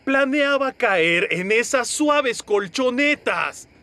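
A man voicing a bossy, excitable film worker in a children's cartoon dub, emphatic and demanding, with a Latin American accent. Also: extremely high pitch, slow, no room sound, heavily acted. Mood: exasperated. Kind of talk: animated children's series dub.

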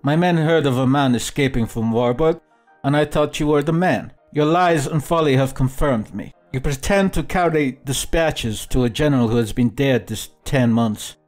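A man's voice: medium pitch, normal speed, darkish tone, soft background music, pitched above the performer's own voice, close to an everyday voice. A man voicing a sly, sneering army captain in an audiobook, mocking, blustering and commanding. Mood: accusing, roaring. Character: sly, sneering military captain, harsh recruiting bully. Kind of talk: audiobook character dialogue.

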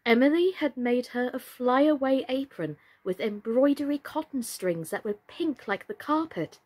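Female storyteller, 30s, highish and animated in pitch, unhurried, deliberate children's narration. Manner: measured, deliberate. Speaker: storyteller, actress. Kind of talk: expressive children's story reading. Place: small furnished room indoors.

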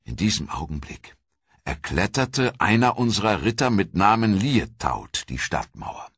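A man reading a scripted historical documentary narration in a solemn, measured storytelling manner. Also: fairly low pitch, big pitch swings, a bit bright, breathy edge, dry recording, studio quality.

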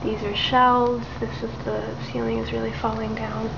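Soft-spoken woman touring an empty house. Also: fairly high pitch, flat delivery, normal speed, gravelly. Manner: soft, half-whispered, pointing things out. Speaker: urban explorer filming a tour. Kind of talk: casual walkthrough narration. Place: empty abandoned row house, indoors. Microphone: handheld camera. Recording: roomy, dull lo-fi camera audio with hiss.

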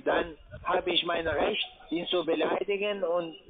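A man comes through a narrow phone line with a crowd of voices clearly behind him. He is a call centre agent in a heated argument, insulting and repeating himself combatively. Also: sits high, fairly even intonation, a little slow.

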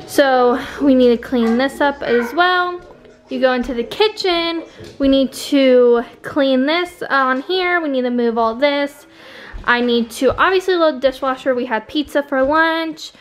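Chatty young woman vlogging at home. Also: high voice. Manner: chatty, rambling, filming handheld while walking through the house. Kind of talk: casual home vlog. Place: home rooms, indoors. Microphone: phone or camera held at arm's length.

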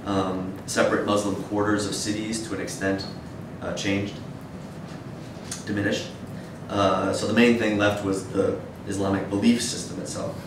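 Male academic, deep voice, measured and hesitant lecture delivery, recorded from afar in a reverberant room. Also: flat delivery. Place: dim wood-shelved seminar room with audience, indoors. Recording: echoey room, distant mic, noisy rumble.

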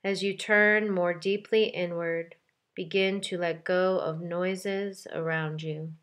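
A woman with a slightly low voice guides a meditation very slowly. She is calm and gentle, giving measured instructions and leaving deliberate space between phrases. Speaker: meditation guide, yoga teacher.

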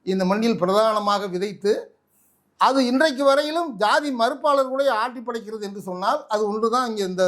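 A man with a Tamil Nadu accent giving impassioned political commentary in an interview, forceful and oratorical, full of rhetorical questions. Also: high-pitched. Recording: echoey room, distant microphone.

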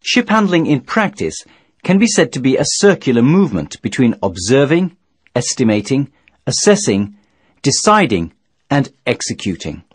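Husky male training narrator, lively intonation. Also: medium pitch, dry recording. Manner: measured, formal, didactic. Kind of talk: scripted instructional narration.

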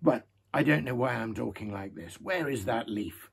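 A man reading aloud and voicing a cheerful, easygoing young hobbit in a slightly raised, raspy voice. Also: mid-range voice, moderate pace.

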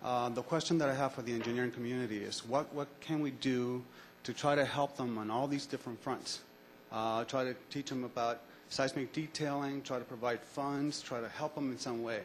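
A man in a lecture audience asking a long, earnest question, pausing on fillers as he goes. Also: medium pitch, fairly even intonation, a little hiss or hum, low-quality recording.

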